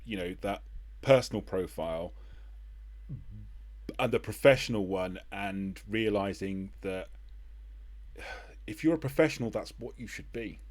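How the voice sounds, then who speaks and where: A man's voice: on the low side, unhurried, pauses often, a little mellow. A middle-aged man hosting a casual interview, speaking in a wry, hesitant way with the odd sigh. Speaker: interview host.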